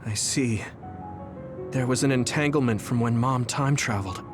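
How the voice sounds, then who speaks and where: A man acting as a serious young squad leader in a video game. His medium-pitched voice is measured and thoughtful, sincere without display. Music runs underneath. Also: close to an everyday voice. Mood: subdued.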